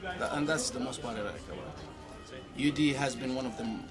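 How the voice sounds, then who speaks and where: Saudi man with music underneath. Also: medium pitch. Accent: Saudi Arabian. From Saudi Arabia. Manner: joking, halting with many fillers and restarts. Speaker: interviewee, graduate student. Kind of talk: casual interview storytelling. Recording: music playing behind the voice.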